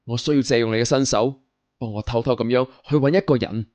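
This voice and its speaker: A man's voice: medium pitch, fast talker, darkish tone, dry recording, natural voice. A man playing an earnest, serious young rich sidekick in an audiobook drama, with formal phrasing. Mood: grave, determined.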